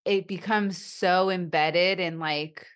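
Low, slow-talking woman who stutters. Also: steady tone, smooth. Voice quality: stutters with repetitions and blocks. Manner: reflective, thoughtful. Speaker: podcast host. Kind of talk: conversational interview.

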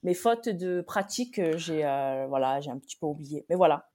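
A young woman in her twenties, a graduate student being interviewed, answering hesitantly and thinking aloud with pauses and restarts. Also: sits low, mellow.

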